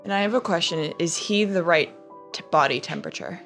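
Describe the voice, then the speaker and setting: A woman chats casually as a player in a tabletop game. Her voice is a little low for a woman. There is music playing underneath her. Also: brisk, fairly bright tone. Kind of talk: casual improvised chat. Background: background music.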